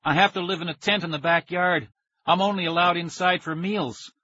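An excitable young boy from a children's story, played by a man, whiny and dramatic. His voice is pitched high and bright, with a husky edge. He is a hapless schemer who makes every line a complaint. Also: a little fast, no room sound, dull audio. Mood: glum, defensive. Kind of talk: children's audiobook character acting.

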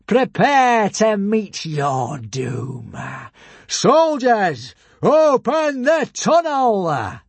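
A man's voice: sits high, wildly swooping pitch, very slow, gravelly, husky, no echo, pitched above the performer's own voice, heavily acted. A man voicing a treacherous, gloating villain in an audiobook, pompously projecting his taunts as if to a crowd. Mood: gloating menace. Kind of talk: audiobook character acting.

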